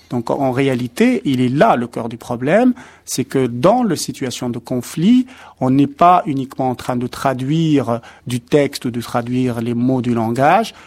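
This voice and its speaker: Measured academic man explaining. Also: medium pitch, a little mellow. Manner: measured, academic, with frequent hesitations and restarts. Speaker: expert guest on translation. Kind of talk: explanatory interview answers.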